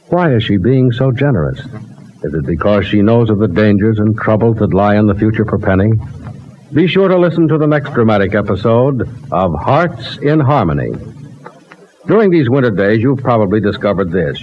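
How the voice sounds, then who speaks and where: A male vintage radio announcer with a polished, emphatic sales delivery. His mid-range voice swings expressively in pitch, on a dull old recording with faint noise.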